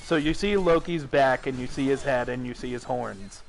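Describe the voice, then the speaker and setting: A man's voice: medium pitch, moderate pace, creaky, soft background music, rough recording. A man hosting a casual nerdy podcast chat, rambling, swearing and laughing often. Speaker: streamer and podcast host.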